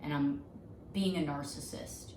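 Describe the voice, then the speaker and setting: A woman in her thirties co-hosting a podcast, loud and sarcastic, swearing freely in casual comedic banter. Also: deep voice, measured pace. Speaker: podcast co-host. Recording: echoey room with faint ambient noise.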